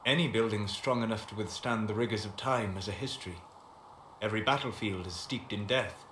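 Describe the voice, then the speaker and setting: Guy playing a calm bookish elf mage for a game, low voice, formal and measured, echoey. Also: lightly acted. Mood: solemn, intrigued. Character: calm scholarly male elf apostate mage, wistful and reserved. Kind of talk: video game dialogue acting. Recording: echoey room with background noise.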